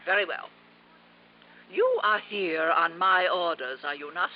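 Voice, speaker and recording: A woman's voice: on the low side, measured pace, natural voice. A woman playing an imperious, possessive older aunt in a 1950s radio drama, haughty and theatrical in manner. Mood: commanding. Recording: dull, noisy vintage radio recording.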